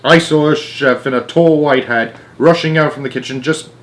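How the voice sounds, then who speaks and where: A man narrating a children's storybook aloud in an animated read-aloud style. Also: a little high, moderate pace.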